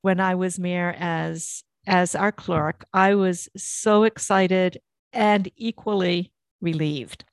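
A woman's voice: fairly low pitch, fairly even intonation, slow, clean tone, no room sound. Middle-aged woman interviewer, close dry sound. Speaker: interview host, former small-town mayor. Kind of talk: conversational interview.

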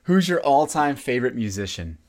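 A man hosting a podcast, asking quick questions and reacting with upbeat interjections. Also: medium pitch, very animated, a little mellow. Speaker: podcast host.

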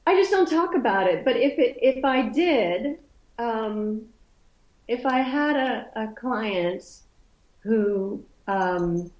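A woman's voice: fairly high pitch, lively intonation, slow, darkish tone, reverberant, low-quality recording. A woman who grew up speaking Polish, talking as an interview guest in a loose, thoughtful way, often restarting her sentences. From Poland. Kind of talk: casual conversational interview.

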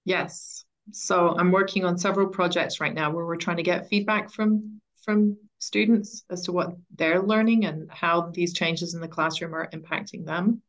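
Woman with a slightly low, measured voice. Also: level tone. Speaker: interview guest, education author and coach. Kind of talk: conversational expert interview.

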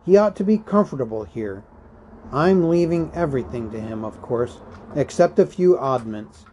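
A man's voice: medium pitch, expressive, normal speed, dark tone, a little hiss or hum, pitched above the performer's own voice, lightly acted, low-quality recording. A man voicing an elderly hobbit in a storybook reading, fretful, stubborn and possessive, with a querulous, insistent manner. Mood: wistful, resolute.

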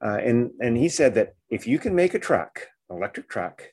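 A man's voice: mid-range voice, sing-song, moderate pace, a little mellow, breathy edge. A man co-hosting a podcast, talking casually and enthusiastically with many fillers and restarts. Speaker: podcast co-host.

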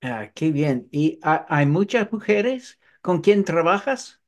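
A man hosting an interview, asking polite questions in a hesitant, halting way. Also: on the high side, big pitch swings. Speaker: interview host.

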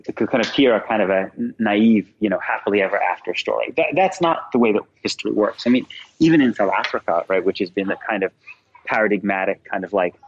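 A man who writes, answering as a podcast guest in a thoughtful, measured way, qualifying his points as he goes. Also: on the low side, dull audio, a little hiss or hum. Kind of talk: interview answers.

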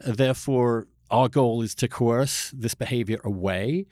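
A man hosting a discussion podcast, talking through political arguments in a thoughtful, halting way and often repeating words mid-sentence. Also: medium pitch, a little mellow, dry recording, studio quality. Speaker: podcast host interviewing a guest.

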